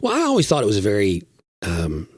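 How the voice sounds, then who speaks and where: A man's voice: on the low side, wildly swooping pitch, a little fast, slightly rough, no echo, studio quality. A man who is a pastor, recovering from a recent illness, chatting casually on a podcast and telling stories with self-deprecating humor.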